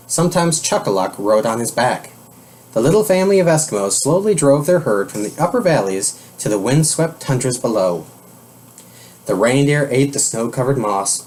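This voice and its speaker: A man reads a children's story aloud in a medium-pitched voice. His intonation rises and falls in a lively way, with measured, expressive phrasing. Faint noise sits behind the voice. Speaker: storybook reader.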